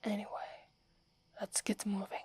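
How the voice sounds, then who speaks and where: A woman with an Italian accent voicing a proud young werewolf woman, whispering softly close to the mic and stammering when flustered. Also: moderate pace, lightly acted. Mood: impatient. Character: proud young werewolf woman, gruff but secretly fond. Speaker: ASMR roleplay performer. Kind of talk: whispered ASMR roleplay.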